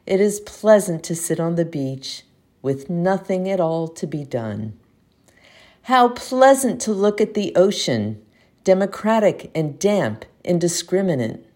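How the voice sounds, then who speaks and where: A woman reciting a poem aloud as an amateur reader, with measured, expressive phrasing. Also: lowish voice. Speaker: community poetry reader. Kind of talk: poetry recitation.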